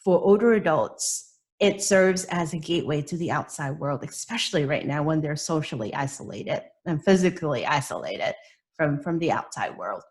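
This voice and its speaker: A woman's voice: low voice, animated, normal speed. A middle-aged woman from Hong Kong with a slight British accent speaks as a panelist on a video call. She reflects with rhetorical questions and restarts her sentences. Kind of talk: webinar panel discussion.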